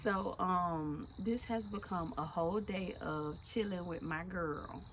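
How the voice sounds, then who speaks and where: A woman in her forties chatting casually and laughing loudly with an old friend for her video. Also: lowish voice, slow, background noise. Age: 40s. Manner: loud laughing, expressive, slangy. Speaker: vlog host.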